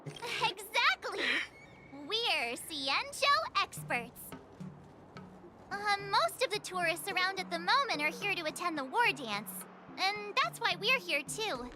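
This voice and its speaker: A woman's voice: extremely high pitch, big pitch swings, takes it slowly, full character voice. A woman playing a cheerful, chatty teenage girl adventurer in an anime-style game. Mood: chatty, teasing. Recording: game music loud behind.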